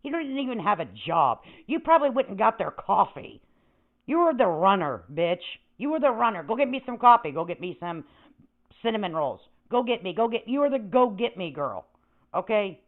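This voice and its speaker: A woman's voice: medium pitch, big pitch swings, normal speed, narrow, tinny audio. A woman giving mocking, confrontational commentary as a reaction show host, sarcastic and foul-mouthed. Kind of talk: mocking commentary on another creator's stream.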